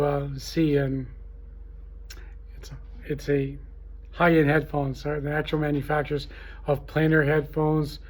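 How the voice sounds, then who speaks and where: A man hosting a trade show gives an informal, unscripted promotional talk, speaking in a halting, repetitive, salesy way. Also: a little high. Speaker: trade show host promoting his event.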